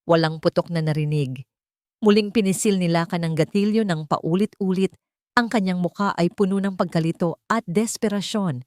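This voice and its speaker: Woman, slightly low voice, dramatic first-person story narration, very dry close sound. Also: normal speed, darkish tone, no echo.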